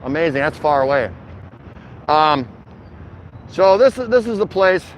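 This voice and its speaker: A man's voice: a little high, lively intonation, measured pace, rough recording. A middle-aged man vlogging live on a walk, chatting casually in an easygoing, rambling, slangy way to his viewers. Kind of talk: casual livestream walking-tour chat. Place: outdoors, walking through a city shopping district. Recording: outdoor city noise, passing traffic.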